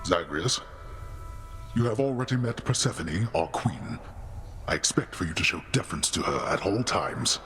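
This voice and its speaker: A stern old king of the underworld, speaks very low with formal, imperious weight. He sounds weary and grim, as in a dramatic video game, with faint music behind him. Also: expressive, normal speed, fairly bright tone. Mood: solemn, halting. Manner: formal, grave, imperious diction.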